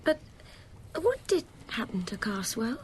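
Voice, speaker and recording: A woman's voice: fairly high pitch, wildly swooping pitch, a little slow, slight background noise. An actress playing a genteel, impressionable British lady in a radio drama. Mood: horrified, curious.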